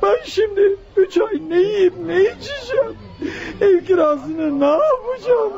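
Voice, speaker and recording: A male actor playing a despairing, robbed old pensioner in a radio play, with a tearful, halting, strained way of lamenting. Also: very high voice, lively intonation, darkish tone, exaggerated voice. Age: older. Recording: muffled lo-fi radio drama recording.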